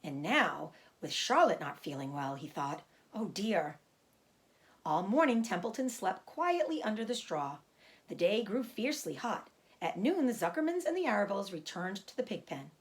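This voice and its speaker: A woman teacher reading a children's book aloud, gently stopping to explain words for young listeners. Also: medium pitch.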